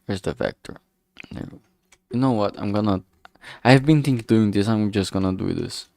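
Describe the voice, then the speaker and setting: A man talking casually while working on a solo stream, halting and rambling, often trailing off mid-sentence and swearing freely. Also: fairly low pitch, vocal fry, darkish tone, no room sound, clean studio sound. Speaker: solo streamer developing a visual novel. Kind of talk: casual work-along stream monologue.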